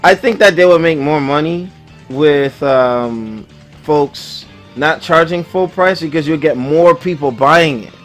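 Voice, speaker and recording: Slangy male podcast host, slightly high voice. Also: unhurried, soft background music. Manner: slangy, conversational. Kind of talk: casual gaming panel chat.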